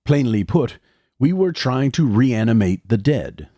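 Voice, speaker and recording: Actor as articulate young medical student, dry recording. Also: male voice, mid-range voice, very animated, warm tone, no room sound. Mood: grave recounting. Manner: formal, measured, articulate. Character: educated young male medical student, wary loyal accomplice. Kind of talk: audio drama acting and first-person narration.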